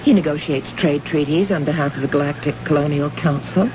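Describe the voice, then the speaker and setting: A woman's voice: deep voice, a little fast, natural voice. An actress playing an earnest, puzzled woman diplomat of the far future in old-time radio drama style, questioning with emphasis. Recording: noisy, muffled old radio recording.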